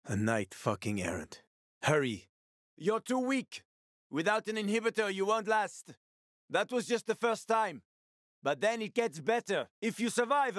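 An actor playing a wry, weary middle-aged male survivor in a video game, slangy and sardonic, with a gravelly, husky voice. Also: on the high side, big pitch swings, normal speed, a bit bright, no room sound, studio quality. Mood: grim.

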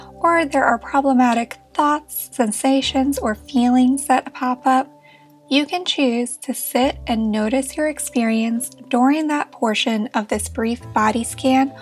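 A woman leading a guided meditation as a therapist, speaking in a gentle, reassuring and encouraging manner. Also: sits high.

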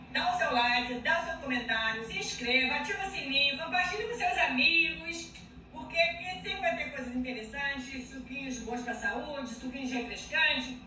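A Brazilian woman in her fifties chats warmly and directly to her viewers from a home kitchen, her high, bright voice bouncing around the room. The camera mic sits a little away from her, so the sound is roomy and a touch distant. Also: a little hiss or hum. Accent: Brazilian Portuguese. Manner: affectionate, chatty, addresses viewers directly. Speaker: juice channel host. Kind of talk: casual cooking vlog to followers.

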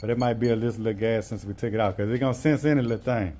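A man's voice: fairly low pitch, fairly even intonation, very fast. A man giving a casual hands-on demonstration as an HVAC instructor, often repeating short phrases encouragingly. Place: indoor HVAC training workshop. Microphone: handheld phone camera. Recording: noisy workshop with loud equipment hum.

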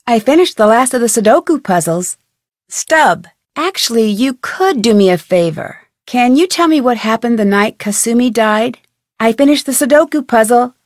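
A woman's voice: a little high, dry recording, clean studio sound, close to an everyday voice. A woman voicing a curious, polite young amateur detective in a video game, with a friendly, inquisitive delivery. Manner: inquisitive, friendly, clearly articulated questioning. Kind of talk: video game dialogue, single scripted lines.

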